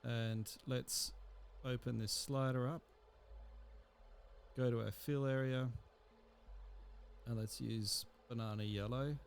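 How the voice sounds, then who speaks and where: A man teaching a software tutorial, explaining step by step in an unhurried way and thinking aloud with fillers. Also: medium pitch, fairly even intonation, gravelly, slight background noise. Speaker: instructor. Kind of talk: screen-recorded software tutorial.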